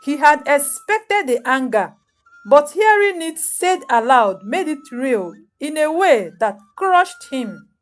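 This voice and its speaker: Woman telling a sad folktale, solemn, slow and very expressive, music underneath. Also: high voice. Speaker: storyteller, narrator. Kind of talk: dramatic scripted folktale narration.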